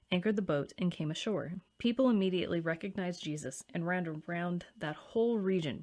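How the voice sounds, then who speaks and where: Slightly low-pitched woman reading scripture. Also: darkish tone. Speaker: church scripture reader. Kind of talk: scripted Bible reading aloud.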